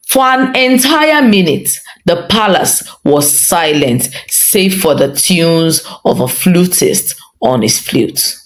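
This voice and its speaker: Slow, expressive Nigerian woman storyteller. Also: lowish voice. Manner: measured, expressive. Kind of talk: dramatic scripted folktale narration. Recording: roomy echo under light music. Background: music.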